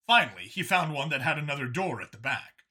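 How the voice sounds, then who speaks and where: A man's voice: a little high, big pitch swings, brisk, bright, forceful tone, slightly rough. A man narrating a scripted science fiction story as an audiobook reader.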